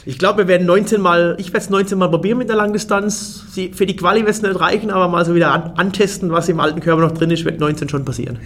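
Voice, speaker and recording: A man's voice: sits high, steady tone, fairly bright tone. A German man in his forties, a race organizer, answering interview questions in a casual way and often restarting his sentences. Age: 40s. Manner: fast, restarts and repeats words.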